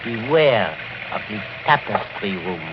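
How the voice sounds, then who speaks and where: A man's voice: lowish voice, very animated, a little slow. An actor playing a fearful, ominous coachman in a radio horror drama. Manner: ominous, grave warnings. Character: fearful French coachman, and ominous old bearded doctor. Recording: muffled old radio with clear noise.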